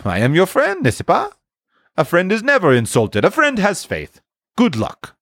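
A man's voice: sits high, wildly swooping pitch, unhurried, a bit bright, no room sound, studio quality, voice pushed up, exaggerated voice. Man as a breezy, worldly storybook mouse, swooping. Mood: loyal. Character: worldly, fatalistic male French storybook mouse, loyal friend.

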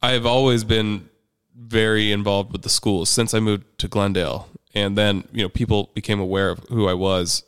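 A man's voice: on the low side, flat delivery, normal speed, few pauses, a bit bright, clear, resonant tone, no room sound, studio quality. A man talking candidly and conversationally as a guest, recounting his own experiences. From United States. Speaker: podcast guest, parent activist.

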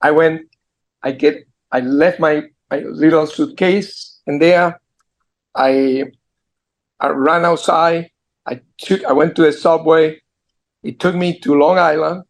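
A man in his sixties with an Argentine Spanish accent, a guest entrepreneur on a video call, telling his story earnestly with halting restarts. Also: fairly high pitch, steady tone. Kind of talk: storytelling interview. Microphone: computer mic on a video call.

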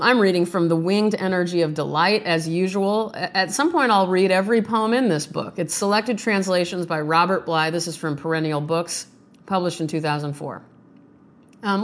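A woman hosting a podcast, chatting casually and jokingly about herself before reading a poem, her voice off from a sinus infection. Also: fairly low pitch. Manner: chatty, self-deprecating, joking. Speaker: podcast host reading poems. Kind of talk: casual chat and poetry reading. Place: small home studio.

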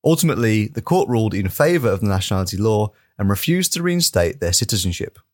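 A man's voice: fairly low pitch, sing-song, fast talker, a little mellow, dry recording, clean studio sound. A man co-hosting a podcast, moving between casual chat and reading out news in an informal, careful way. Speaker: podcast co-host.

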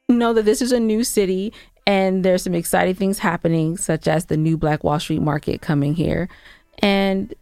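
A woman with a fairly low voice and noticeable vocal fry, chatting casually as a podcast co-host. She is playfully argumentative and insistent, and the sound is close and dry. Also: darkish tone, no room sound. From Georgia, United States. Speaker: podcast co-host and audio drama producer. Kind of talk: casual banter and show updates.

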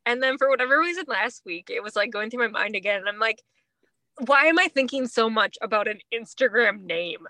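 A woman's voice: fairly high pitch, expressive, a little fast, bright, forceful tone, no echo. A woman in her thirties chatting casually as a podcast co-host, informal and a little self-deprecating. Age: 30s. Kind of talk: casual chat.

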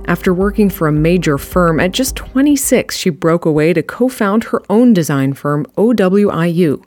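A woman's voice: fairly low pitch, darkish tone, no echo, clean studio sound. A woman hosting an interview podcast, talking in a conversational, reflective way with frequent rephrasing. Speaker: podcast host.

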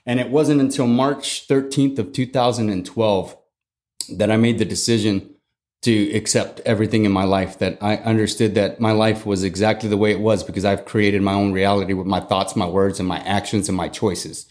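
Middle-aged Texan man, lowish voice, earnest guest reflecting on his life. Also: fairly even intonation, normal speed, a little mellow. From Texas. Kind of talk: casual interview talk.

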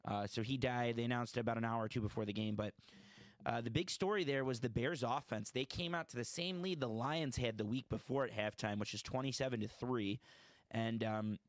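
A man's voice: medium pitch, a little fast, no room sound. A man hosting a casual sports podcast, chatty and opinionated, often correcting himself mid-sentence. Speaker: podcast host.

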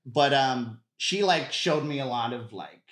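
A man talks casually as a guest in an echoey room. His delivery is halting and joking, with a mid-range voice. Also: medium pitch, expressive, crowd noise. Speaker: podcast guest, comedian. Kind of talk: casual chat.

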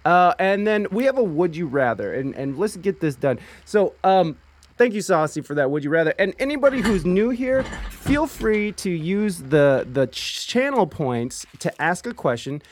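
Chatty host guy, kinda high voice that bounces around, laughs a lot, dry close sound. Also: very animated, moderate pace. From Ohio. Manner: chatty, laughs often, restarts words. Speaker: stream show host. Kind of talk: casual chat.

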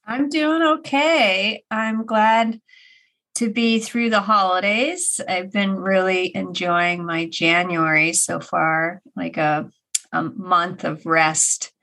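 A woman in her forties co-hosting a podcast, her voice a little raspy after an illness, chatting in an animated way and laughing often. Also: lowish voice, takes it slowly, low-quality recording. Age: 40s. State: slightly raspy from recent illness. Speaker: podcast co-host. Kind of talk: casual chat.

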